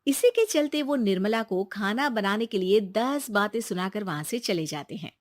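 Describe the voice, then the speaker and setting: A woman's voice: medium pitch, animated, moderate pace, no echo, clean studio sound. A woman narrating a scripted moral story as its storyteller.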